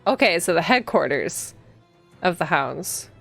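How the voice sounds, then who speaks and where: Lower-pitched woman reading a visual novel. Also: very animated, measured pace, vocal fry, faint music behind. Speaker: streamer playing a visual novel. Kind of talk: reading game text aloud with casual commentary.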